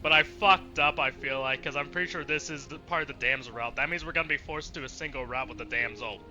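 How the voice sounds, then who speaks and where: A man's voice: mid-range voice, quick pace, dull audio, over music. A man doing casual, sweary let's play commentary, reacting with exasperation as he streams. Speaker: video game streamer.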